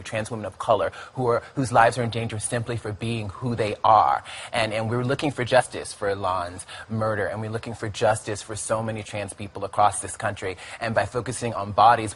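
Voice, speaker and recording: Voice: mid-range voice, a bit bright, slight background noise. A speaker talking earnestly as an advocate in an interview, often restarting phrases.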